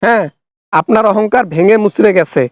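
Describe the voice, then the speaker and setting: A man's voice: high voice, brisk, no room sound, clean studio sound. A man with a Bangladeshi accent hosting a satirical cartoon skit, speaking in a scolding, sarcastic way. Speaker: parody channel host, accuser in skit.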